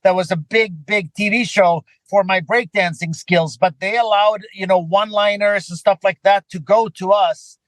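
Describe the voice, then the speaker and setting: High-pitched man from Sweden, chatting casually. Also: steady tone, moderate pace, hardly any pauses, no room sound, poor audio. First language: Swedish. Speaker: podcast guest, filmmaker and actor. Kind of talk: casual conversational storytelling.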